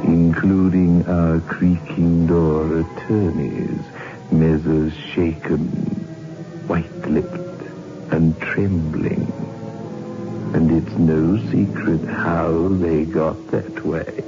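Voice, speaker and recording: Very deep, slow, sinister horror host. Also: male voice, little pitch movement, full character voice. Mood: sinister, mock-genial. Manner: slow, ominous, theatrical. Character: sinister, macabre, mock-genial male horror host. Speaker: horror show host and narrator. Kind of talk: 1960s radio horror narration. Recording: muffled old radio recording over music.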